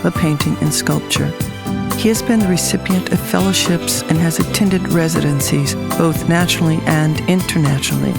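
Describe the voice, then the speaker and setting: A woman's voice: sits low, fairly even intonation, moderate pace, creaky, over loud music. A woman hosting an interview podcast, speaking in a prepared, orderly way. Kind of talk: scripted introduction and interview questions.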